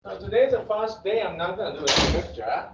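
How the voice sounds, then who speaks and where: A male university professor with a Japanese accent, opening the first day of class in a casual, joking way, halting now and then with fillers. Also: high voice, lively intonation. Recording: echoey, dull classroom recording with faint hiss.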